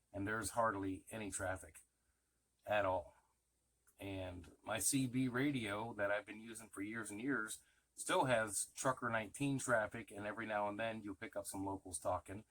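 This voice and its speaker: A middle-aged man speaks in a fairly low voice with a plain-spoken, informal manner. He talks as if showing his things to viewers in a casual vlog. Some noise sits faintly behind him on the amateur recording. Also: fairly bright tone, poor audio. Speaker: vlogger showing his radios.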